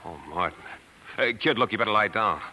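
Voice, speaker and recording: A man's voice: fairly low pitch, animated, a little fast, sharp tone, a little hiss or hum, rough recording. An actor playing a chummy, exasperated young male roommate in a 1940s radio drama, slangy and wisecracking. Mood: worried exasperation. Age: young adult. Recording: vintage 1940s radio broadcast.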